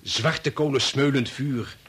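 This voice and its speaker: An actor playing a cheerful, girl-chasing chatterbox with a husky voice, jovial and gossipy, in a Dutch radio drama. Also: mid-range voice, steady tone, takes it slowly, fairly bright tone, slight background noise. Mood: smitten, amused.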